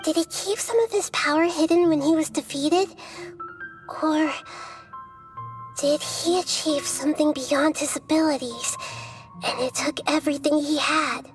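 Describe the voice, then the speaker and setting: A woman playing a gentle, wise, childlike goddess in a video game, composed, earnest and reassuring. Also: sits high, steady tone, unhurried, music in the background. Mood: urgent. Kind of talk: video game character acting.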